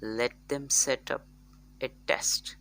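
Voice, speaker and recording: A woman's voice: a bass voice, fairly even intonation, slow, creaky, a bit bright, dry recording, full character voice. A woman voicing a male lion king in a children's story, deliberating in a self-assured way. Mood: pondering. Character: male lion king weighing a difficult decision.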